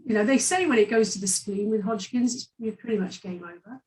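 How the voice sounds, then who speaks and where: A woman with a Welsh accent tells her story in an open, chatty way, full of fillers. Her voice sits at a middle pitch and sounds a little distant from the microphone. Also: a little fast, a little mellow. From Wales. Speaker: guest. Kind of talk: personal story in an interview.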